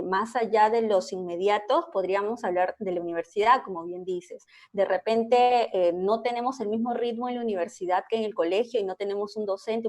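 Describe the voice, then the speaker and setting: Measured woman clinical psychologist. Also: medium pitch. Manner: measured, explanatory, frequent hesitations. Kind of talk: interview guest explaining.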